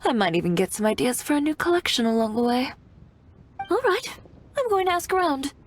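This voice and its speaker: A woman's voice: sits high, very animated, fairly quick, a little hiss or hum. An actress playing a poised, appreciative young woman designer in a video game, with polished, articulate delivery. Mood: admiring, curious. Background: music.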